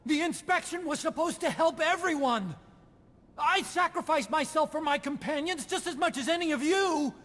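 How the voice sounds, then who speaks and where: A man's voice: very high voice, moderate pace, a bit bright, in a large hall. A male actor playing a frantic, self-pitying scientist in a video game cutscene, whining and pleading his innocence. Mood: wounded pleading. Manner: pleading, whiny, defensive.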